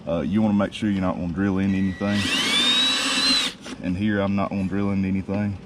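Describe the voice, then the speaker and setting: A man, casually explaining a how-to job in a driveway with a relaxed, folksy manner and many fillers. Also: low voice, a little fast, dull audio. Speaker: DIY video host. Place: outdoors in a driveway. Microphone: camera mic. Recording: distant outdoor camera mic with noise.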